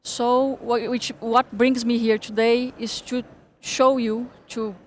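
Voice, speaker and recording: A Brazilian woman, a native Portuguese speaker, giving a partly read speech in English with halting delivery, repeating and correcting words. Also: fairly high pitch, flat delivery, a little hiss or hum. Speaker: panel speaker, political scientist.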